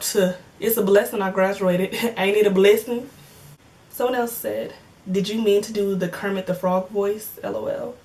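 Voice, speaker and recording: A woman's voice: mid-range voice, far from the mic. A woman of twenty in casual chat, answering viewer comments in a joking, slangy way and laughing often. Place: home filming setup with cameras and lights.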